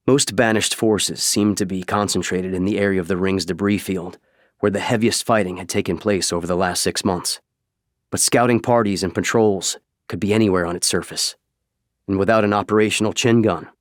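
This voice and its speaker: Low-voiced male audiobook narrator. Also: no room sound, studio quality. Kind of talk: scripted fiction narration.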